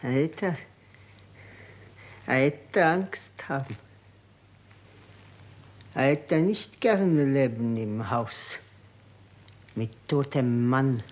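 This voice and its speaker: An actor playing a timid old male house servant in a radio crime drama, stammering in broken, foreign-accented German. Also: medium pitch. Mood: frightened, hesitant. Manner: halting, stammering broken speech.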